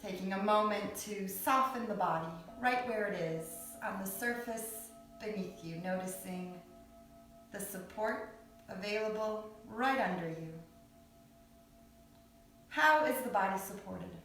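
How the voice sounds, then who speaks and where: Lady leading yoga nidra, soothing n unhurried, mid pitch, super echoey recording w faint music. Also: a bit bright. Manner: soothing, unhurried, long pauses between short phrases. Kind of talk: guided meditation. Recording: echoey, distant mic with background music.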